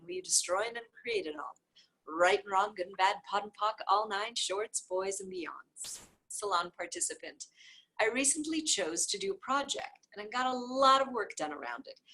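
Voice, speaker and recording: A woman reading a dialogue book aloud, speaker labels included, with repeated phrases in an even, steady cadence. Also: on the high side, husky, low-quality recording. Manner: occasional soft offhand asides.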